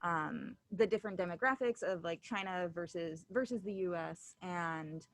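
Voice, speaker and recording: A woman interviewer with a slightly low voice, recorded dry and close. She talks casually and haltingly, often searching for her words. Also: no echo. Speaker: interviewer and event host.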